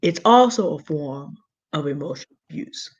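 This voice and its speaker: A woman with a big, low contralto voice, talking through a point deliberately and earnestly as a panelist in an online discussion. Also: lively intonation, muffled recording. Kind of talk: measured explanatory talk.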